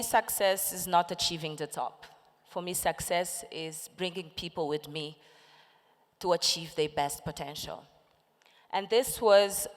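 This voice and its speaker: A woman in her forties, a Portuguese native, speaks to a crowd with rallying passion. Her voice sits on the lower side and rings out with the long echo of a big conference hall. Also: smooth. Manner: impassioned, rallying the audience with questions. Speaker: conference panelist. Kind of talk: motivational stage talk. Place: large conference hall with audience.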